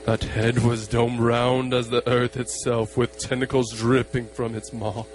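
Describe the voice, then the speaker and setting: A man narrating an amateur horror radio play in a solemn, measured, portentous way. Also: mid-range voice, level tone, music in the background.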